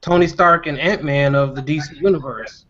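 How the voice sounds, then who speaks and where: A man's voice: a little high, moderate pace, fairly bright tone. A man chatting casually in a group discussion, conversational and given to acting out imagined dialogue. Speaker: podcast panelist. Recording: dull lo-fi recording.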